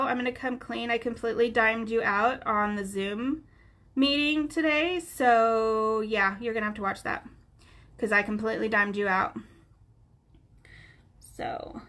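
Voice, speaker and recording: A woman's voice: on the high side, bright, forceful tone. A woman with a stuffy, nasal voice, chatting casually with her viewers by name as she teaches coloring on a live stream. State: stuffy nose, sounds nasal. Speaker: craft instructor on a live stream.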